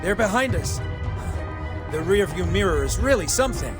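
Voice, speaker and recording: An actor playing a brisk, commanding escape driver in a video game, rallying companions. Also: mid-range voice, big pitch swings, close to an everyday voice. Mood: urgent, commanding. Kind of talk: dramatic video game acting. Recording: music under the voice.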